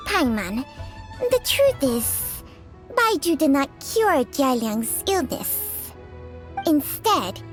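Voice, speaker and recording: A woman voicing a small, sharp-tongued, scolding female snake companion in a video game, haughty and theatrical. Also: sits high, full character voice. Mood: candid, insistent. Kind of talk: scripted video game voice acting.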